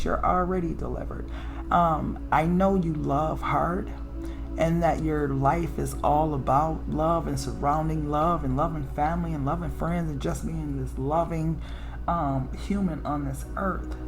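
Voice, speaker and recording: A woman with a raspy alto voice giving a casual, preachy spiritual tarot reading, often checking in with "okay?" and "right?". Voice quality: low alto voice. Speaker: tarot reader.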